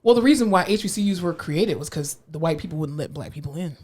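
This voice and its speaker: A woman's voice: deep voice, lively intonation, quick pace, darkish tone, breathy edge. A woman co-hosting a podcast in casual chat, blunt and joking, sometimes playing devil's advocate. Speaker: podcast co-host.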